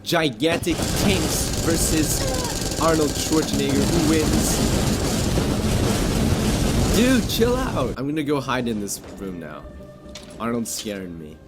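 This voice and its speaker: A man who makes gaming videos on YouTube, doing casual gameplay commentary and channel talk in an excitable, joking way, with lots of swearing. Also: fairly high pitch, slow pace, long pauses, over loud music.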